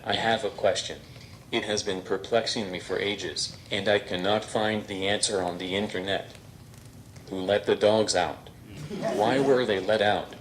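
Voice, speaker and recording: A man voicing a deadpan male robot stand-up comedian with a flat, machine-like delivery. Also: fairly low pitch, slight background noise, lightly acted.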